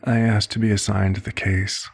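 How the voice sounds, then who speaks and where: Casting: male actor as aged vampire physician for ASMR roleplay; kindly, formal, fatherly, heavy vocal fry, even intonation. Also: on the low side. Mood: reflective, somber. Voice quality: husky, gravelly. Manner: measured, slightly formal, old-fashioned phrasing. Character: old, compassionate male vampire doctor, gently paternal and measured.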